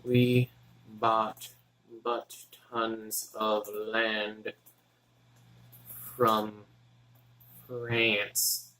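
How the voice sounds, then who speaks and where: A young man from Texas, a student, mutters to himself in a halting, casual way as he works through his notes. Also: on the low side, monotone, pushed and bright, poor audio. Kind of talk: casual study vlog, thinking aloud.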